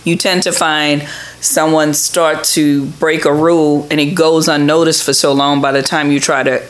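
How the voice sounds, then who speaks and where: A woman's voice: low voice, flat delivery, moderate pace. A middle-aged woman, an official being interviewed, giving conversational answers in a plain-spoken, explanatory way. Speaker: government official, interview guest.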